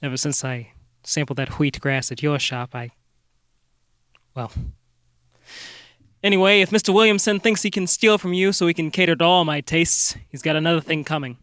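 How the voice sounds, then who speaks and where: A man plays an earnest amateur sleuth in a comic radio play. His voice sits a bit high and is recorded dry. He speaks politely and haltingly, with a folksy, slightly bumbling manner. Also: a bit bright, dry recording, natural voice. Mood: businesslike, halting. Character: earnest small-town amateur detective, polite, a little bumbling. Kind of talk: comic radio-drama acting.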